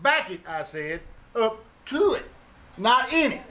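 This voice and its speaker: A man's voice: extremely high pitch, very animated, unhurried, exaggerated voice. A man playing an exasperated, sarcastic bandleader husband with a folksy, wisecracking manner in a 1940s-style radio sitcom. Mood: scheming. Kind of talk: 1940s radio sitcom acting, live stage re-creation. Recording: live theater stage, faint noise.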